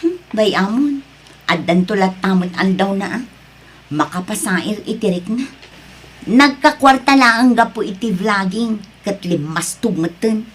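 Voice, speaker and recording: A woman's voice: mid-range voice, lively intonation, unhurried, husky. A woman with an Ilocano Filipino accent playing a well-meaning but hurt friend in an audio drama, speaking with reproach. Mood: indignant.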